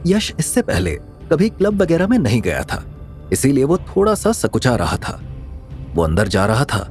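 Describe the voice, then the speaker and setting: A man's voice: mid-range voice, huge pitch swings, moderate pace, darkish tone, soft background music. A man narrating a scripted dramatic audio story.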